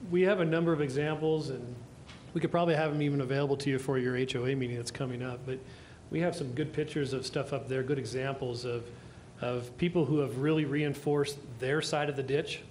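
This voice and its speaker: A man's voice: medium pitch, fairly even intonation, fairly quick, few pauses, darkish tone, a little hiss or hum. A man, a city official, explaining stormwater issues at a public meeting in a reassuring way. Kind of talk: answering questions at a public meeting.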